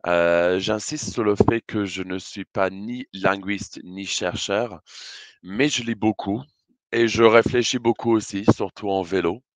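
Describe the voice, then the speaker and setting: Very deep-voiced British man presenting. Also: fairly even intonation, a little slow, dry recording. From Britain. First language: English. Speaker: conference speaker. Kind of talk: prepared conference presentation.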